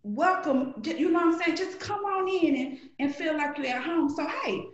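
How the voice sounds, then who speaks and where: A middle-aged woman from Alabama with a Southern accent, telling stories in an animated, playful way as a guest on a podcast. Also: extremely high pitch, moderate pace, roomy sound, highs cut off. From Alabama, USA. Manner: animated storytelling, playful, acts out voices in quotes. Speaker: guest, grocery shopper. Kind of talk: casual podcast conversation.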